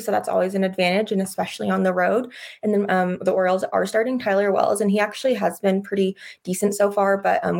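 Female betting analyst, rapid and monotone, gravelly fry, casual "um"s. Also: mid-range voice. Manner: quick, flat delivery with "um"s.

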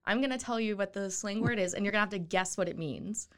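Female host for a local interview show, medium pitch, casual and conversational. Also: fast talker, a bit bright.

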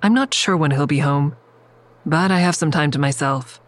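An actress playing an observant, wry, secretive woman who narrates her own story in an audio drama, measured and descriptive. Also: sits low, natural voice. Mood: wry, uneasy. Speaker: narrator and lead character.

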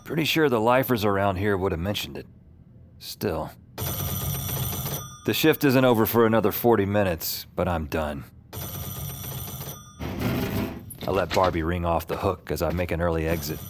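A man's voice: low voice, normal speed, background noise, close to an everyday voice. An actor playing a weary, wry man on the run who narrates his night in terse, dry noir audio drama style. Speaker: lead character and narrator. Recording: music under the voice. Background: dramatic music.

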